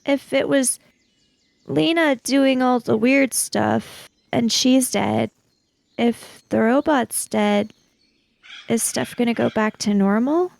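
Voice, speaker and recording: An anxious kid, played by a woman in a tabletop mystery game, with a high voice and a crackly edge. The talk rambles from one question to the next, recorded close and dry. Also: takes it slowly, vocal fry, darkish tone, no room sound. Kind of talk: improvised in-character tabletop roleplay.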